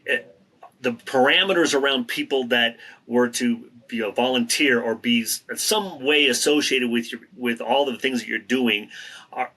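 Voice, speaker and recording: A man's voice: medium pitch, moderate pace, sharp tone, low-quality recording. A man, hosting an interview and responding enthusiastically with short affirmations. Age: 50s. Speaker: interview host. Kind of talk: interview questions.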